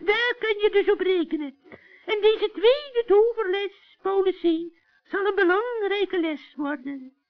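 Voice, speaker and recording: A man's voice: very high-pitched, animated, slow pace, voice pushed up, full character voice. A man voicing a sly old forest witch in a children's radio play, wheedling and falsely sweet, with a Netherlands Dutch accent. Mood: scheming, wheedling. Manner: cackling, wheedling, falsely sweet, stammering when flustered. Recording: dull old radio recording.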